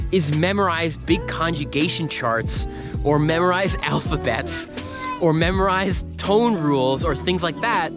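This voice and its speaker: A man with a slightly raised voice lays out his study method step by step, in a methodical and instructive manner. Clear music runs underneath. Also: fairly high pitch. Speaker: language learner. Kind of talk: casual explanation to camera.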